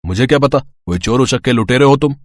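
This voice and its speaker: Heartless rival eatery boss for a moral cartoon, male actor; mocking, dismissive, medium pitch. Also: a little fast, no echo, natural voice. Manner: taunting, dismissive. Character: arrogant, mocking, heartless rival restaurant owner. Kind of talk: dramatised moral story acting.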